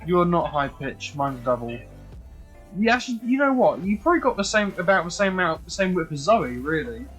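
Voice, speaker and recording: A young man streaming a game and chatting casually. He is excitable, swears freely and often restarts his sentences. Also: a little fast, faint music behind. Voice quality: fairly high-pitched voice. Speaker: gaming streamer. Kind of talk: casual chat while gaming.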